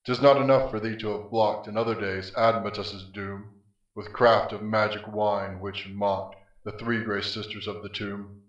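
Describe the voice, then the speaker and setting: A man's voice: lowish voice, steady tone, moderate pace, lightly acted. A man playing Death, a grim, scornful spirit, in archaic, declamatory verse drama. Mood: indignant. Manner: archaic, theatrical, defiant. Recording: muffled, echoey, distant from mic.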